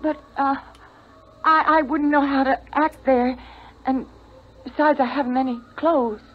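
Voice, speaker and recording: An actress playing a gentle, proper wife and mother, shy of strangers, in a 1950s radio drama. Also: high voice, little pitch movement, measured pace. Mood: anxious. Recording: tinny, thin vintage 1950s radio recording.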